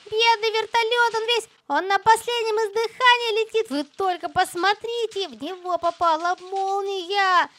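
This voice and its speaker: High-voiced woman, chirpy kids' game host. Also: a bit bright. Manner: chirpy, exclamatory, playful diminutives. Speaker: host of a children's gaming channel. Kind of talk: casual game commentary aimed at young children.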